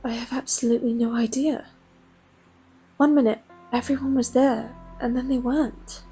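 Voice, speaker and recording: An actress playing a grieving young woman, who speaks in a subdued, halting way in an audio drama. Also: on the high side, fairly even intonation, warm tone, faint music behind, close to an everyday voice.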